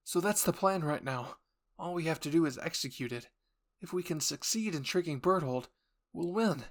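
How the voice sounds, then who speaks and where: A man voicing an anxious, higher-pitched young soldier. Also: animated, normal speed, lightly acted. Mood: urgent, resolved. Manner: hesitant, stammering, pleading. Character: anxious, unsure young male soldier turned strategist. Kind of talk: anime fan roleplay voice acting.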